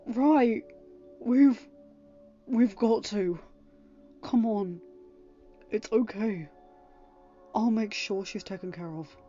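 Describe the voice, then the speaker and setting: A woman voicing a young man speaking gently and softly to calm a grieving friend. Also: sits low, a little slow, lo-fi sound, soft background music. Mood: gentle. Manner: soft, gentle.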